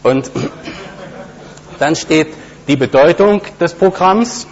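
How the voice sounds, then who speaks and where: A man's voice: on the high side, slow pace. A man giving a technical conference talk with a live demo, explaining step by step with many hesitations and fillers. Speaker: conference speaker. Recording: dull lo-fi recording with faint hum.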